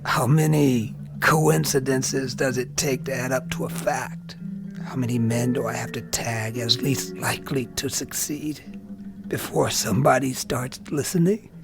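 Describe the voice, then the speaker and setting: An actor playing a tormented, bitter young army lieutenant in an intense radio drama style. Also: male voice, mid-range voice, steady tone, a little slow, gravelly. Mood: bitter, weary. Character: tormented young army lieutenant who sees death on faces. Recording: radio drama with music underneath.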